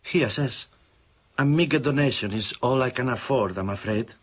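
A man's voice: medium pitch, moderate pace, very noisy, natural voice. A man playing a meek, poor clerk who pleads politely with his boss.

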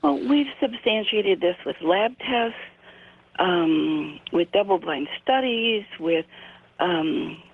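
A woman's voice: mid-range voice, creaky, breathy edge. A woman in her sixties, a radio guest, explaining things patiently with frequent hesitations over a phone line. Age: 60s.

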